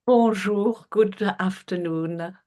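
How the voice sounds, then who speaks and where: Woman, French accent, middle-aged, interview guest, earnest, unhurried, medium pitch. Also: dark tone. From France. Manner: earnest, unhurried, with frequent fillers and restarts. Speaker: guest, healer. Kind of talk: conversational interview.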